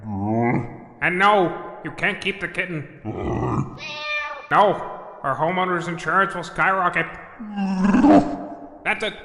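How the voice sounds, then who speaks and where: A man's voice: sits high, takes it slowly, pauses often, bright, forceful tone, heavily acted. A man playing an exasperated villain in a comedic audio drama, fretting and scolding melodramatically like a disappointed parent, with deflated sighs. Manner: melodramatic, fussy scolding mixed with deflated sighing. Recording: echoey hall reverb, faint noise.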